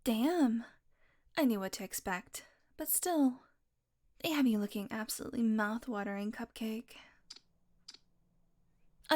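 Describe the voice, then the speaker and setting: Woman playing a smug, high-voiced teasing vampire. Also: a little mellow. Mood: teasing, gloating. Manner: taunting, drawling, dropping into breathy murmurs. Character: smug, teasing female vampire, predatory old enemy. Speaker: audio roleplay performer. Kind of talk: intimate dramatic audio roleplay.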